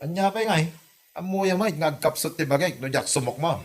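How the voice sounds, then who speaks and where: A lazy, sneering young village man with a fairly high voice and an Ilocano accent, acted by a man. He taunts and scorns others in an amateur comedy radio drama. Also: normal speed, natural voice. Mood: dismissive.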